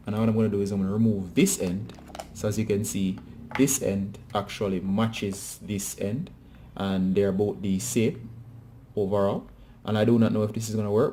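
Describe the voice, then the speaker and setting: A man's voice: on the low side, dark tone, a little hiss or hum. A man presenting a casual hands-on how-to tutorial, talking directly to viewers.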